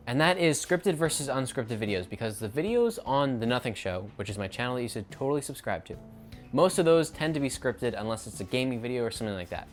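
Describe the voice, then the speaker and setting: A young man chatting to the camera with no script, wandering through his thoughts and stopping to fix his own words. His voice sits at an ordinary middle pitch, with some faint music underneath. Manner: rambling, self-correcting, off the cuff. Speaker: YouTube creator. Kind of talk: unscripted casual vlog talk.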